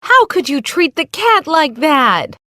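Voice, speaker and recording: A woman playing a stern, fussy older aunt who scolds, in a children's animated storybook. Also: high-pitched, takes it slowly, no echo, studio quality, exaggerated voice. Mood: alarmed, stern.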